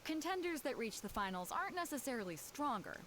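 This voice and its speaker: A woman's voice: high-pitched, big pitch swings, brisk, fairly bright tone, a little hiss or hum. A woman voicing a confident, seasoned female sea captain in a video game, self-assured, casual and teasing.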